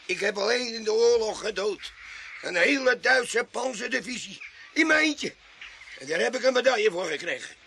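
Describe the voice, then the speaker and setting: An actor playing a shifty, boastful tramp and self-styled war veteran in a radio play, stammering and pleading in a self-pitying way. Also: male voice, high-pitched, lively intonation, normal speed, creaky, sharp tone, heavily acted. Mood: frantic. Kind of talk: radio drama acting. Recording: faintly noisy radio play recording.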